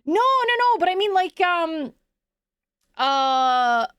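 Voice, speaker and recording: High-voiced rambling woman streamer. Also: sing-song, slow, a bit bright, dry recording, clean studio sound. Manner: rambling, many restarts, laughs often. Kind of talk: casual live-stream chat, reading chat.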